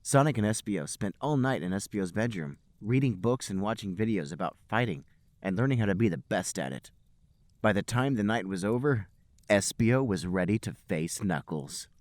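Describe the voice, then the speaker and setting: A man with a lowish voice reads a story aloud as a podcast host. His pitch moves around a lot, and he keeps a mock-serious storyteller's delivery in a dry, close recording. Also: lively intonation, moderate pace, darkish tone, dry recording. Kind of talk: comic dramatic reading of amateur fiction.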